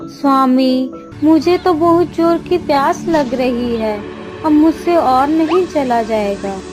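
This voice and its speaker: A woman's voice: high voice, slow, over music. A woman voicing a plaintive, self-pitying young wife in a cartoon tale.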